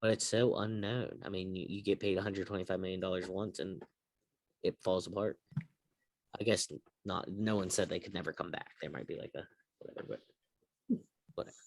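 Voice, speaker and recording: A man's voice: low voice, fairly even intonation, moderate pace, pauses often. A man hosting a casual sports talk show, chatting and putting questions to his co-hosts. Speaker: sports podcast host.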